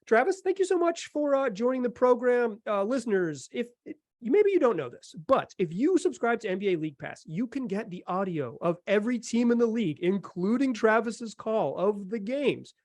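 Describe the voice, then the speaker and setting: A man's voice: high voice, very animated, normal speed, few pauses, darkish tone, dry recording. A man hosting a podcast, chatting casually and enthusiastically about sports with emphatic delivery. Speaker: podcast host.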